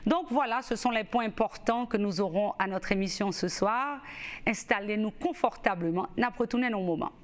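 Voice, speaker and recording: A woman hosting a TV newsmagazine, welcoming viewers and interviewing a guest in a lively way. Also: mid-range voice, normal speed. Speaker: host. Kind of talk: TV magazine show hosting and interviewing.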